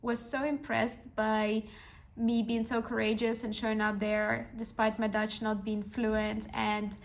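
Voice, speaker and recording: A woman's voice: fairly high pitch, level tone, measured pace. A woman in her twenties, a podcast host telling a personal story in an earnest, encouraging way with frequent restarts. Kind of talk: reflective personal storytelling monologue.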